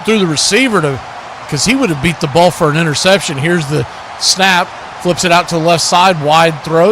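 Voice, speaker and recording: A man doing live play-by-play football commentary for a stream, in clipped phrases that grow excited on big plays. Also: a little high, noisy background.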